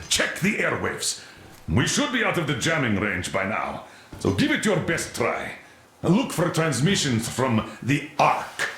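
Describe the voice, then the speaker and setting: A man acting a stern, weary military commander in a video game, gruff, authoritative and sardonic. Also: medium pitch, a bit bright, very echoey, heavily acted.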